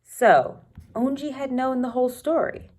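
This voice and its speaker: A woman teacher reading a children's novel aloud expressively, pausing to think aloud and comment for her students. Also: high voice, a little mellow. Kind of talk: read-aloud storytelling with asides to students.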